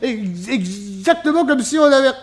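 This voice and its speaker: A man's voice: extremely high pitch, expressive, unhurried, husky, exaggerated voice. An actor playing a nervous, sheepish man in a detective radio drama, stammering and repeating his words as he hesitates. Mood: giggly, sheepish.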